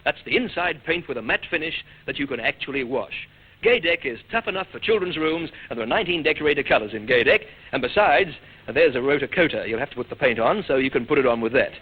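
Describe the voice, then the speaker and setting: Animated, high-pitched radio quizmaster, a man. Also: fast talker. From Australia. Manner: teasing, bantering, chuckling. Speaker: radio quiz show host. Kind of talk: playful vintage radio quiz hosting. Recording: dull old radio recording, noisy studio audience.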